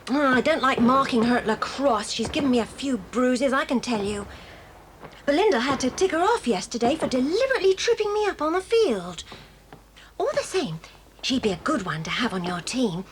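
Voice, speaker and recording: An English boarding-school girl in a children's drama. She is high-pitched and very animated, eager and emphatic in a jolly, plain-spoken way, with noticeable noise behind her. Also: normal speed. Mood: chatty, rueful. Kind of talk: children's audio drama acting.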